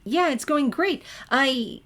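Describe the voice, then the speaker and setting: A woman voicing an excitable, nerdy teenage girl in a story reading, eager and gushing. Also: sits high, slow pace, pitched above the performer's own voice, exaggerated voice. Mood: sheepish. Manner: eager, gushing, stammering when flustered.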